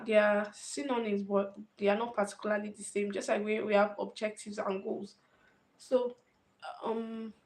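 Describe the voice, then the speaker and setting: Woman thinking aloud to camera. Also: medium pitch. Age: 20s. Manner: thinks aloud, repeats and restarts phrases. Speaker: vlogger. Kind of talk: casual talk to camera.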